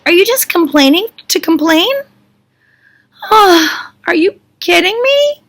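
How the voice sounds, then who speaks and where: An overwhelmed leader of a grumbling people, acted out by a woman in a high, sharp-edged voice. The delivery is an incredulous, emphatic rant, fed up and exasperated, as in a Bible story told aloud. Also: very animated, moderate pace, gravelly, husky, heavily acted.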